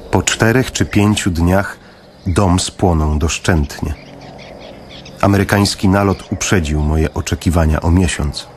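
A man narrating a literary radio drama in the first person, in a measured, somber manner. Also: low-pitched, a little hiss or hum.

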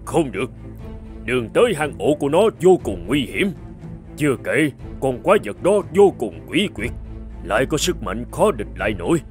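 A man's voice: mid-range voice, sing-song, moderate pace, soft background music, natural voice. A man voicing a worried, protective old king and father in a fairy-tale cartoon, grave and anxious.

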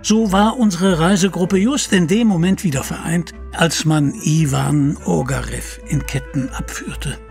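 A man's voice: fairly high pitch, a little slow, gravelly, hardly any pauses, a little mellow, faint music behind. A man narrating an adventure audio drama in a dramatic, articulate storytelling style.

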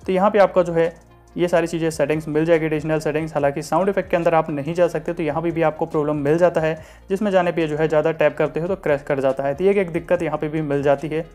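An Indian man with a fairly high voice narrates a phone software review. He talks very fast in a repetitive, explanatory patter. Soft music plays behind him. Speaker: tech reviewer. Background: light background music.

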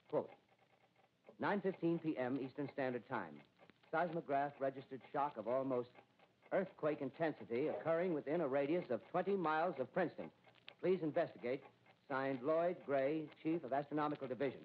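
A man's voice: fairly high pitch, lightly acted. An actor playing an eager field reporter in a 1930s radio drama, stammering and hurrying as he describes the scene. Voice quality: hurried, stammering on-the-spot reporting. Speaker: radio commentator. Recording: tinny old radio broadcast with faint hiss.

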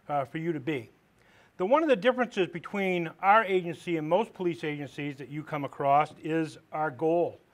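A middle-aged man from Maine with a big voice, a police lieutenant giving a live classroom lecture in a conversational, plain-spoken way with rhetorical questions. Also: on the high side. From Maine, United States. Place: training room with a seated audience. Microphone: far camera at the back of the room.

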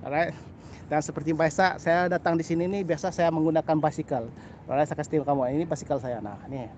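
High-voiced middle-aged Sabahan Malay man vlogging outdoors. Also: fairly even intonation, a little mellow, highs cut off. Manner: halting, repeats phrases, frequent "ah" and "lah" tags. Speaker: birding vlogger. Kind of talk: casual vlog chat to camera. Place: outdoors on a grassy path by a canal. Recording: outdoor background noise.

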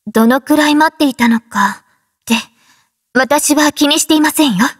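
A woman playing a gentle, composed female android soldier in an anime game, speaking softly and with polite formality. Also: sits high, normal speed, dry recording, studio quality, lightly acted. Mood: tender. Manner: polite, formal, soft-spoken. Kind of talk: Japanese anime game voice acting.

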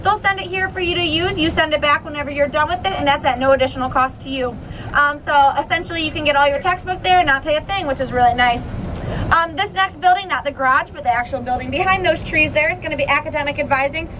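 A woman's voice: high voice, fast. A young woman guiding a campus bus tour, upbeat and informative, with frequent "um" fillers. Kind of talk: guided tour commentary. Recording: noisy bus interior. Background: bus engine noise.

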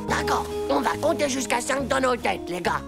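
Brash cartoon schoolboy: high, gravelly, boastful, slangy; music under the lines. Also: female voice, animated, moderate pace, exaggerated voice. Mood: bossy. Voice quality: scratchy, gravelly. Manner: cheeky, slangy, self-assured. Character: brash, cocky young schoolboy, bossy and boastful. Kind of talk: French children's cartoon dubbing.

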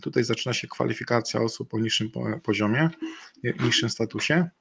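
Gravelly man presenting over a webcam. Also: mid-range voice, a bit bright. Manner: halting, many fillers and restarts. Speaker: webinar presenter. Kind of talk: slide presentation. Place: indoor room. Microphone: video call webcam.

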